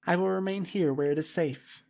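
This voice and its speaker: A man's voice: high voice, normal speed, darkish tone, voice pushed up. A man voicing a decisive young woman who firmly leads a group in danger. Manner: firm, decisive. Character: commanding young woman, cool-headed leader.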